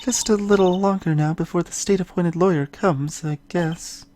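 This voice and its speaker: A man voicing a soft-spoken, sorrowful young woman who is a spirit medium in a video game, speaking haltingly and earnestly. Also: high-pitched, fairly even intonation, a little hiss or hum, pitched above the performer's own voice, close to an everyday voice. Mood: subdued. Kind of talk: voiced video game dialogue. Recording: music behind the voice.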